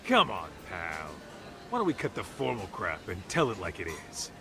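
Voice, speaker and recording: An actor playing a brash, streetwise detective sidekick in a video game, blunt, slangy and wisecracking. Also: male voice, low-pitched, huge pitch swings, normal speed, creaky, over music, full character voice. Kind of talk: video game cutscene acting.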